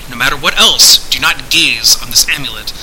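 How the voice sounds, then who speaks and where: A man's voice: medium pitch, a little fast, noisy background, close to an everyday voice. A man voicing a commanding, courteous unseen sorcerer who speaks in formal, declamatory archaic phrases. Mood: instructing.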